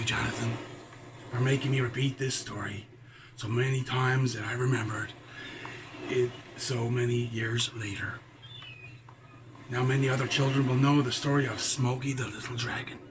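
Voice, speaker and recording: A man's voice: medium pitch, fairly even intonation, normal speed, long pauses, natural voice. A man voicing a salty pirate who reads a children's storybook, chatting to his mateys with pirate talk and chuckles. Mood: jolly, easygoing. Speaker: storybook reader. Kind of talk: children's read-aloud with chatty asides. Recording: noisy, with background ambience.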